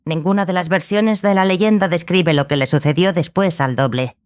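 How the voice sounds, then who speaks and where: A woman playing a learned, exacting small sorceress who mentors a student, speaking in a measured, matter-of-fact way in an audiobook drama. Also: on the low side, a little mellow, natural voice. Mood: explanatory.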